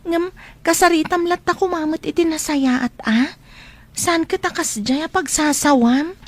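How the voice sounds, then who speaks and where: An actress with an Ilocano accent playing a concerned young student who gently scolds a friend in a radio drama. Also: female voice, high voice, measured pace. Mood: questioning, chiding.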